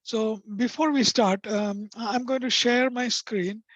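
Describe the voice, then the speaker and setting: A man with a non-native English accent and a high-pitched, soft voice, presenting in a webinar and pausing often with fillers. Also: fairly even intonation, dry recording. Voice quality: high-pitched, sometimes hard to hear. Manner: hesitant, many fillers. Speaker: vocational rehabilitation presenter. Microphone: video call. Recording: dull dry video call audio.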